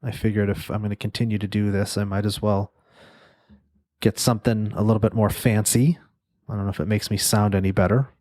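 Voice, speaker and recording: A man's voice: lowish voice, little pitch movement, brisk, darkish tone, no room sound, studio quality. Nasal man hosting a solo podcast. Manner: casual, rambling with many fillers and restarts. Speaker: podcast host and agency owner. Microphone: studio microphone.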